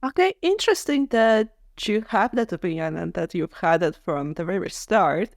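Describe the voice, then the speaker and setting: A woman's voice: on the low side, expressive, normal speed, creaky, no echo, clean studio sound. A woman co-hosting a podcast, chatting casually about books, opinionated and peppering her talk with "like". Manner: opinionated, heavy use of "like". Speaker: podcast co-host. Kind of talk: casual book discussion chat.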